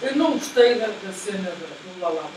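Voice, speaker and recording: A woman's voice: medium pitch, lively intonation, fairly quick, crowd noise. A woman chatting casually, insistently repeating her phrases. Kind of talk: casual chat. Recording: very echoey, distant, noisy room.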